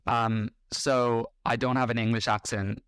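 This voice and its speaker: A man's voice: medium pitch, flat delivery, a little slow, fairly bright tone, clear, resonant tone, dry recording, studio quality. An Irish man in his thirties, a podcast host reacting to clips in a blunt, combative and sarcastic way. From Ireland. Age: 30s.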